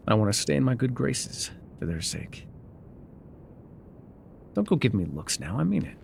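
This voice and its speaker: An actor playing a gruff, wry loner guide in an ASMR roleplay, with a dry, sardonic, unhurried delivery. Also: male voice, mid-range voice, slight background noise. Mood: irritated, defensive. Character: gruff, wry loner man guiding a rider on foot.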